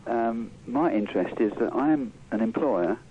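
An older man talking hesitantly and haltingly as a caller in a casual radio discussion. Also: mid-range voice, animated. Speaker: employer calling a radio phone-in. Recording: dull, noisy radio phone-in.

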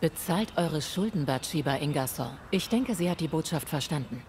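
An actress playing a composed, stern female ghost hunter in a video game, speaking formally, measured and direct. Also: low-pitched, animated, a little mellow. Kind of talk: video game dialogue acting. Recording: faint ambient noise.

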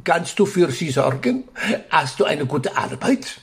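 Creaky, theatrical papa. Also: male voice, a little high, big pitch swings, a little slow, a bit bright, exaggerated voice. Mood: protective, indignant. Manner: theatrical, fussy, repeats himself. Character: excitable, overprotective Italian father, vain and theatrical. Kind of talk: German audio drama acting.

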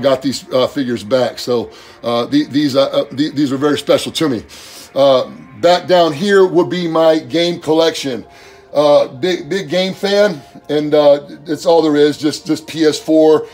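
A man in his forties giving a relaxed home tour vlog of his collection room, filmed on a handheld phone, talking in a loose, rambling way with lots of fillers and restarts. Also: a little high. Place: home game and toy room, indoors. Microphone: handheld phone camera.

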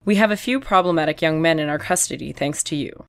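A woman voicing an older female police detective who speaks in a measured, formally polite way. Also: sits low, pitched below the performer's own voice. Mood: cool polite.

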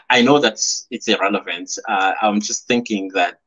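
A man's voice: fairly low pitch, measured pace, bright, forceful tone. A South African man in his thirties, chatting casually on his first live stream from a small room at home, laughing often and reading out viewer comments. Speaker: YouTuber hosting a live stream. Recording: slightly dull lo-fi stream audio.

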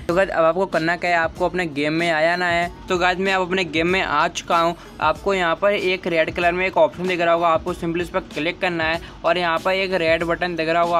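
Young adult man, slightly high voice, flat intonation, tutorial voice-over, background music. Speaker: gaming tutorial host.

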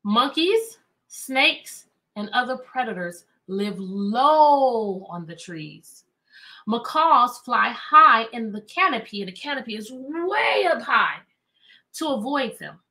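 A middle-aged American woman reading aloud to children as a teacher, animated and chatty, often asking questions. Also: a little high, takes it slowly. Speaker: teacher and read-aloud host. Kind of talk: interactive children's read-aloud lesson. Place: indoor room with bookshelves.

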